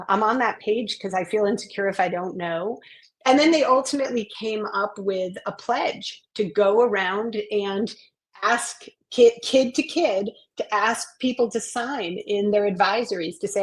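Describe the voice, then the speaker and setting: A woman guest on a podcast interview, from Maine, talking in an earnest, reflective way and growing animated as she tells stories. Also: medium pitch. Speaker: guest, education consultant and author.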